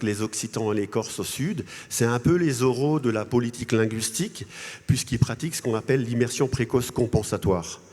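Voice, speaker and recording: A man from Alsace, a native Alsatian speaker, making his case as a panel guest in a halting, repetitive way. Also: medium pitch, darkish tone. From Alsace, France. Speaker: guest speaker, language association president. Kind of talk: panel interview, advocating argument with anecdotes. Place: congress hall. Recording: echoey large hall.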